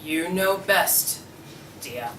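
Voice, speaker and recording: A woman's voice: lowish voice, animated, very slow, pushed and bright, exaggerated voice. A young woman in a play table read, playing a mild, henpecked husband with restrained, mock-polite manners. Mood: mild, spiteful. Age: young adult. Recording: echoey room, distant mic, clear noise.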